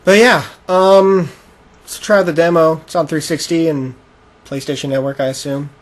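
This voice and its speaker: A man's voice: on the high side, fairly even intonation, normal speed. A man co-hosting a gaming show, bantering casually as he comments on video game play. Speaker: video game podcast host. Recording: noticeable background noise.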